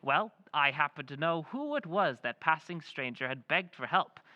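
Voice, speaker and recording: An actor voicing a self-assured letter writer, read aloud in an old-time radio drama. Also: male voice, on the high side, expressive, normal speed, bright, forceful tone, in a large hall, dull audio. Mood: knowing.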